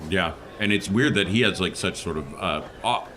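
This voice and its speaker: Male tabletop player, low register, informal table talk, faint noise behind. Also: moderate pace. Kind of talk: casual chat during a role-playing game.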